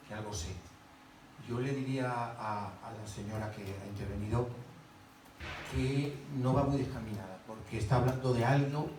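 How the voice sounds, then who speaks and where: A man making formal, opinionated unscripted remarks and putting a question at a public talk. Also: lowish voice. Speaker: panel participant. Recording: echoey room, distant mic, noisy.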